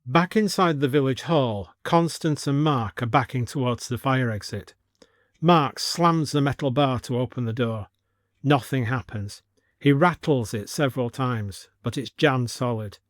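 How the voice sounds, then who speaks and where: A man's voice: mid-range voice, a little slow, no room sound, clean studio sound. A man narrating a scripted supernatural story.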